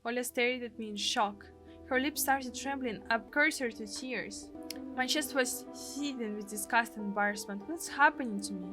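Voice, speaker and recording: A woman's voice: fairly high pitch, moderate pace, music in the background. A woman from Russia with a Russian accent, a streamer expressively reading and translating a game's story aloud in English. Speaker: gaming streamer. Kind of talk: live read-aloud translation of game dialogue with narration.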